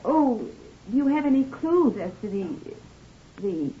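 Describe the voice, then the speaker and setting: A woman's voice: on the high side, big pitch swings, a little slow, a little hiss or hum. An actress playing a genteel, guarded woman who manages a farm, speaking carefully and insistently in an old radio drama. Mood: pained, polite. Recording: muffled, band-limited vintage radio recording.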